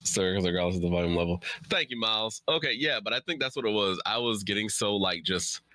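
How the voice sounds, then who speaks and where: Male streamer, fatigued, lowish voice in a very dry recording, casual hosting chat. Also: expressive, brisk, bright, forceful tone, dry recording. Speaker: live streamer and host.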